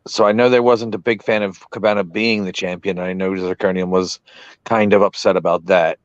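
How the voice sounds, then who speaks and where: A man's voice: deep voice, fairly even intonation, brisk, dry recording, lo-fi sound. A man co-hosting a podcast who is losing his voice, hedging his recollections as he goes. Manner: hedging, repeatedly unsure of his memory. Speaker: podcast co-host. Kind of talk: casual podcast chat.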